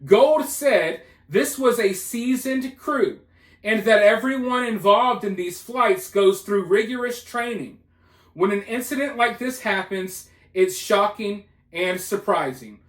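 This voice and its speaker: A high-pitched male commentator talks through the news in a roomy, echoing space. He is casual and opinionated, leaning on colloquial phrasing and repetition to drive points home. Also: lively intonation, takes it slowly. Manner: emphatic, colloquial, repeats phrases for effect. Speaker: commentator hosting a news video.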